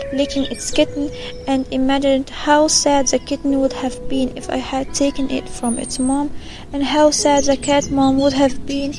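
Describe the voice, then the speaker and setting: A woman playing an earnest, excitable little girl in a children's audio story, full of questions. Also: high-pitched. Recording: clear background noise with birdsong. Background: birdsong.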